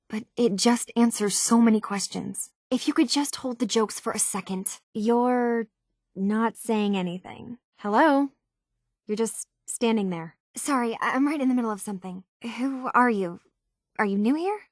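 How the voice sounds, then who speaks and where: Game role: young female synth tinkerer, earnest and halting, raspy-soft texture, very dry studio sound. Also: on the high side, no room sound. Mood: questioning, slightly impatient. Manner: earnest, hesitant, conversational. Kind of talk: video game character dialogue.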